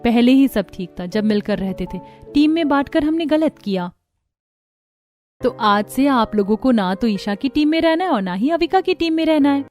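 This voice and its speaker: Woman acting a high-voiced, emphatic young daughter-in-law. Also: lively intonation, normal speed, a little mellow, faint music behind. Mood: earnest, regretful. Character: indignant young daughters-in-law of a squabbling family. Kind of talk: Hindi cartoon family story voice acting.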